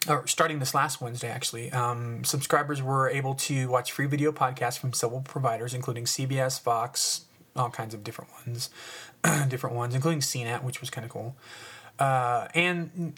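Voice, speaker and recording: A man's voice: mid-range voice, fairly bright tone. A man hosting a casual solo podcast, rambling with lots of fillers and sarcastic asides, sniffling as he talks. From United States. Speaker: podcast host.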